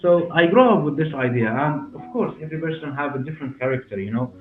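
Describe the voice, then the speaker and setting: A man in his forties with a Syrian Arabic accent, answering interview questions in halting, repetitive non-native English. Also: on the high side, animated. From Damascus, Syria. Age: 40s. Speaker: hair clinic director and interview guest. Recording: roomy, muffled, narrow sound with music behind.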